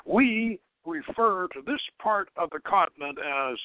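A man's voice: high-pitched, lively intonation, a little slow, dry recording, pitched above the performer's own voice. A man voicing a sardonic, condescending bureaucrat of a dystopian future in a dramatic reading, lecturing in an officious manner. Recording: narrowband radio-like sound, dry.

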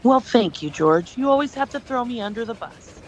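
A woman's voice: fairly high pitch, expressive, brisk, a little hiss or hum, close to an everyday voice, rough recording. A woman playing a prickly, easily exasperated older wife in an audio fantasy drama.

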